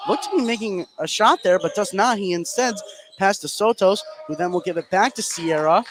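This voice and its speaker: A man giving live play-by-play radio commentary on a basketball game, getting excitable on big plays. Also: high voice, brisk, noisy background. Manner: excitable on big plays, calling action as it happens. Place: indoor basketball gym, courtside.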